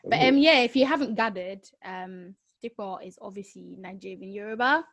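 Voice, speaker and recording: Chatty, animated woman co-host. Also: mid-range voice, moderate pace, muffled recording. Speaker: podcast co-host, actor. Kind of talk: casual chat.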